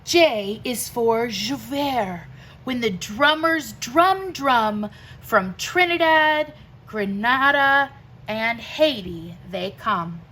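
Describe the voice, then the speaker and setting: A woman reading rhyming verse aloud for children in an expressive way, with deliberate, emphatic phrasing and pauses between phrases. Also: on the high side, slight background noise. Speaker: teacher reading a picture book aloud.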